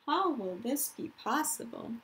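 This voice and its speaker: A woman teacher reading a picture book aloud to children in an expressive, deliberate storytelling manner, with explanatory asides. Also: fairly high pitch, low-quality recording. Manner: dramatic, deliberate storytelling delivery, addressing children directly.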